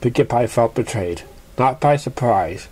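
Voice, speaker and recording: Brief: storybook narrator, mid-pitched and mellow, unhurried reading with the odd stumble. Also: lively intonation, slight background noise. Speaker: fan fiction narrator.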